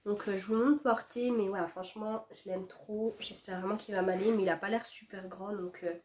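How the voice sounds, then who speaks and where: A woman's voice: medium pitch, fairly even intonation, fairly quick, darkish tone. Young woman, stuffy from a cold, chatty home vlog delivery full of fillers. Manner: chatty, many fillers and restarts. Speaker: fashion vlogger. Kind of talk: casual haul chat to camera. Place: at home, indoors.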